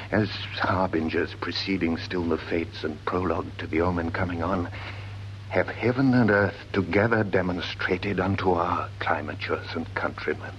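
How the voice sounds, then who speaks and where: A grave scholar in a classical radio play, speaking in a low, declamatory voice. He is learned and stately, shaping verse with measured rhetoric. The recording is dull and old, with some noise. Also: fairly bright tone. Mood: ominous. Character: learned, grave gentleman scholar confronting dread omens. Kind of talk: classical Shakespearean radio drama acting. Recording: dull, noisy vintage drama recording.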